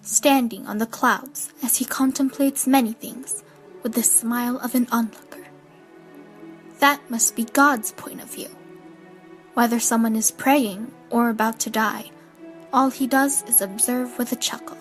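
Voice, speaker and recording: A woman plays a reserved, brooding teenage girl in an audio drama. Her voice is high and her delivery slow and measured, with faint music behind it. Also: fairly even intonation, lightly acted. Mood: wistful. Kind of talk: amateur audio drama narration and dialogue.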